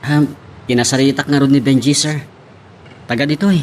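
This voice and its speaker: Guy playing a dutiful underling in a radio drama, medium pitch, clear background noise. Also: steady tone, fairly quick, darkish tone, natural voice. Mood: deferential. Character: deferential male subordinate reporting to his boss. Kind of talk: audio drama acting.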